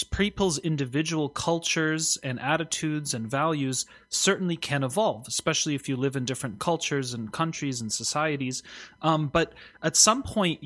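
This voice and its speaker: A man's voice: medium pitch, a bit bright. A man hosting a podcast, chatting casually with fillers as he sets up a question. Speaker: podcast host. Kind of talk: podcast conversation.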